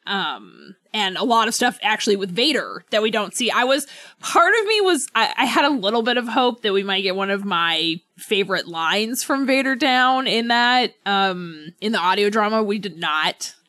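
A woman's voice: medium pitch, animated, normal speed, bright, forceful tone. A woman co-hosting a book club podcast, talking casually and conversationally as she qualifies her opinions. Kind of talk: casual book discussion chat.

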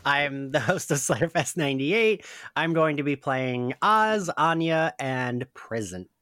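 A man's voice: fairly high pitch, expressive, takes it slowly, pushed and bright. A man introducing himself casually as a guest podcast host.

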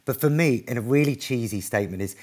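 A man speaks in an average-pitched voice with warm earnestness. He is an author answering a question at a book event. His talk is quick and emotional, full of restarts and asides. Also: slightly rough. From North East London, England. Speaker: author and activist, guest.

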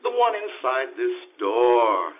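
An actor playing a prissy, long-suffering hotel clerk, fussy and hesitant, in a screwball comedy radio play. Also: male voice, mid-range voice, wildly swooping pitch, slow. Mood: weary, fussy. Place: live theatre stage. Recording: muffled live stage recording with hiss.

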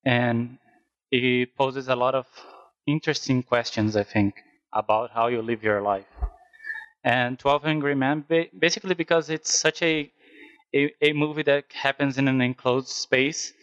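A man with a Brazilian accent talks casually as a podcast guest, pausing to find his words. His voice sits at an ordinary pitch and sounds close and dry. The mic sounds somewhat dull and lo-fi. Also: fairly bright tone, no room sound. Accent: Brazilian Portuguese. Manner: hesitant, searching for words with fillers. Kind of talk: casual chat.

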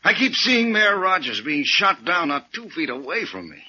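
Gloomy, tense radio husband. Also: male voice, fairly high pitch, big pitch swings, moderate pace, bright, forceful tone, exaggerated voice. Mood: despondent. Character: shaken, gloomy husband and businessman. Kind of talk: 1940s radio acting. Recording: dull, noisy old radio recording.

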